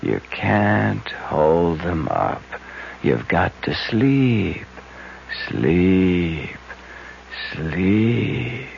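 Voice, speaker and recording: An actor playing a smug young man hypnotizing his friend's sister in a 1970s radio drama, coaxing her in a slow, soothing voice. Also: low-pitched, sing-song, gravelly, a little hiss or hum, heavily acted. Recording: dull lo-fi 1970s radio broadcast.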